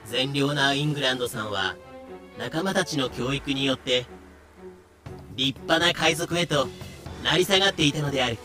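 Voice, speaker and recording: Pirate drama narrator male actor: crisp-edged, intense, theatrical, dryly ironic, music underneath. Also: mid-range voice, normal speed, pauses often, sharp tone, natural voice. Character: wry male storyteller of a pirate history drama. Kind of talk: dramatic scripted narration with comic irony.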